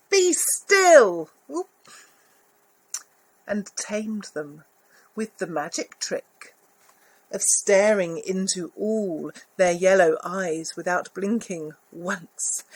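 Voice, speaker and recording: A woman reading a picture book aloud to children, expressive, with little asides about the pictures. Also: medium pitch, low-quality recording. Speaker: volunteer story reader.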